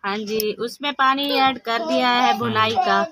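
A middle-aged woman from Pakistan with a Saraiki accent, chatting softly and agreeably while she cooks outdoors in a village courtyard. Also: fairly high pitch, steady tone, measured pace, a loud crowd. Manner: soft, agreeable, answers with short "yes" replies. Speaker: village cook and vlogger. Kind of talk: casual cooking vlog chat.

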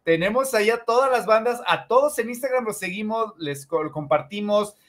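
A man's voice: high voice, expressive, normal speed, a bit bright. A Mexican man in his forties chatting casually as a music show host, rambling and repeating his phrases. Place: indoor room, on a video call. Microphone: video call, wearing headphones.

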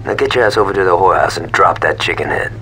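An actor playing a cocky, foul-mouthed street gang lieutenant with an American accent and a brash, sardonic manner in a video game. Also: male voice, low voice, fast talker, heavy background noise, natural voice. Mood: scheming, cocky. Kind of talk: video game cutscene acting.

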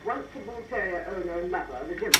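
A woman's voice: on the low side, animated, fast talker. A woman giving a formal recorded talk, heard from an old tape. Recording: noisy muffled old tape playback.

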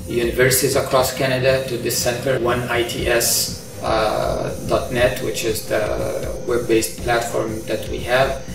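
A middle-aged man from Egypt, an engineering professor, explaining his research in a measured way with frequent ums and uhs, recorded in a large open-plan control center. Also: mid-range voice, gravelly. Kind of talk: interview about his research. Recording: roomy, distant sound with music under it.

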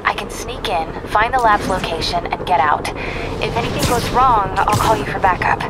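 A woman plays a determined young investigator in a video game. Her mid-pitched voice is direct and matter-of-fact, with very loud noise behind it. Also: moderate pace, lightly acted.

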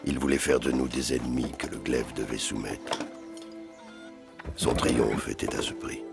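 An older actor playing a grave, proud old Gaulish nobleman with a gravelly voice, speaking solemnly in an animated docudrama. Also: a bass voice, unhurried, music in the background, heavily acted. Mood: grave, regretful. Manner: solemn, weighty. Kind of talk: dramatic dialogue and reflective narration in animated docudrama.

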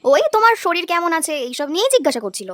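A woman's voice: very high-pitched, very animated, racing, dry recording. A woman playing a caring young wife and daughter-in-law in a cartoon, sweet and pleading. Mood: eager, gentle. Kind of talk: cartoon voice acting, domestic moral story.

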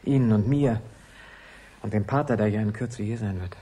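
Earnest secretive guy in a radio drama, soft dark husky voice, pushing his point. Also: on the low side, moderate pace. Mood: urgent. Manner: insistent, persuasive, earnest. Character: urgent, secretive male assistant of a dead scientist. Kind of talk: German radio play acting.

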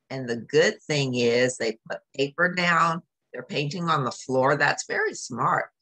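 A woman reading a storybook aloud to young children, expressive and explanatory, adding casual comments and exclamations. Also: deep voice, poor audio. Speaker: storybook reader.

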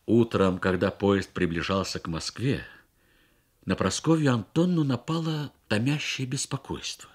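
A man reads a literary short story for radio in measured, expressive phrases with frequent pauses. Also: lowish voice, husky. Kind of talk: literary narration, Soviet radio story reading.

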